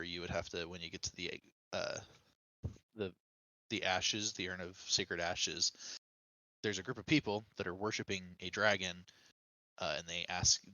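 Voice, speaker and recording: Low, very bright-edged man on a podcast. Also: dry recording, poor audio. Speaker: podcast co-host explaining game lore. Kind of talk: casual podcast discussion of a video game.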